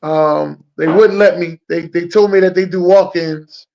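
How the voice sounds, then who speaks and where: A man with a high, gravelly voice hosting a casual chat. He talks loosely with slang and repeats himself, heard through dull, lo-fi sound. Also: fairly even intonation, measured pace. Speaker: host.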